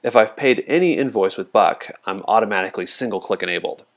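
A man presenting a casual software walkthrough as a product demonstrator, explaining things informally step by step. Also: on the low side, fast talker. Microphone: voice-over recorded over a screen demo.

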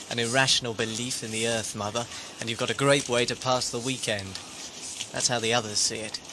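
A man's voice: medium pitch, close to an everyday voice. A man playing a sceptical, cynical, probing character in an audio drama. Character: Dale, a sceptical, cynical, probing male cultist. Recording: very noisy effects and ambience behind.

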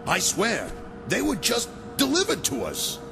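Scared henchman, pleading, panicky, high gravelly voice, video game crook. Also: wildly swooping pitch, a little slow, music in the background, heavily acted. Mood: terrified, pleading. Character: cowardly, frightened street thug pleading for mercy.

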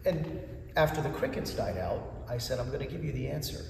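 A man in his fifties, a church chanter whose first language is Greek, answering interview questions with animated stories and acted-out dialogue, recorded in a large church interior from a camera at a distance. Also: mid-range voice, a little fast, vocal fry, a little hiss or hum. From United States. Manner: animated storyteller, acts out dialogue in a raised voice. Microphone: far camera. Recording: echoey church from far off.

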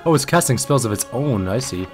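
A man's voice: mid-range voice, expressive, a little fast. A man giving casual let's play commentary on a video game as he plays. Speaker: gaming video host. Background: game music and effects.

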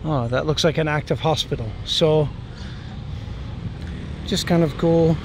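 A man's voice: on the high side, measured pace, a little mellow. A man walking and filming as he goes, musing aloud in a rambling way with frequent "right?" tag questions. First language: English. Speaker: travel vlogger. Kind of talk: casual walking commentary. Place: outdoors on city streets. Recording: outdoor street noise. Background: traffic and street noise.

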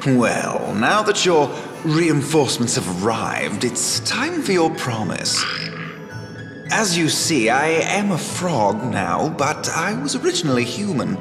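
A suave, sly frog under a curse for a fantasy game. The delivery is slow and swings widely in pitch, persuasive and teasing. Music plays beneath it in a big echoing space. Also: male voice, big pitch swings, exaggerated voice. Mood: sly, matter-of-fact. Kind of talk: scripted video game voice acting. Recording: roomy hall reverb, music underneath.

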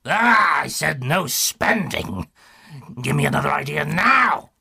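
An actor playing a curt, bossy older studio boss, impatient and money-minded, in a radio drama. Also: male voice, fairly high pitch, animated, slow pace, vocal fry, bright, forceful tone, breathy edge, dry recording, exaggerated voice. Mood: businesslike, impatient.

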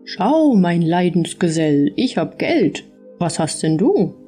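A woman's voice: on the low side, sing-song, slow, mellow, faint music behind. A woman voicing a simple, chatty, boastful Swabian man in a folksy fairy-tale audiobook. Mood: boastful, excited.

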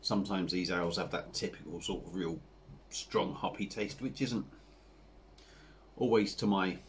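A man in his forties with an English accent, chatting casually to camera as he reviews a beer at his home bar, rambling and relaxed with lots of fillers. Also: deep voice, moderate pace, slight background noise. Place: home bar room with dartboard and signs.

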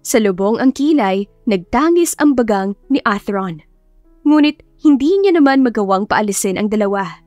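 A woman's voice: medium pitch, lively intonation, normal speed, no room sound, lo-fi sound. A woman with a Filipino accent reading a romance story as scripted, dramatic audiobook narration. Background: soft background music.

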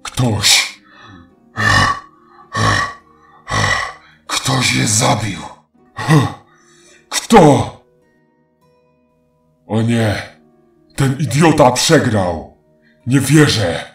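A man's voice: mid-range voice, very slow, creaky, long pauses. A man playing a taunting, menacing ancient faceless demon in a horror audio drama. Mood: enraged disbelief. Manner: mocking, menacing, theatrical. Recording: echoing voice over faint music.

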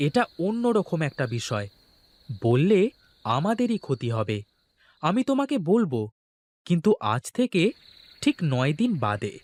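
A man as an earnest, animated village boatman. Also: high voice, measured pace, pauses often, no room sound. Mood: evasive, troubled. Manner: earnest, expressive, exclamatory. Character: humble, excitable, worried male village boatman and husband. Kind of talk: Bengali fairy-tale cartoon dubbing.

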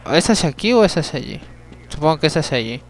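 A young man giving chatty gameplay commentary, talking to himself, swearing at the game and breaking into sudden yells. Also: a little high, very animated, fast talker, a little hiss or hum. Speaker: let's play gamer.

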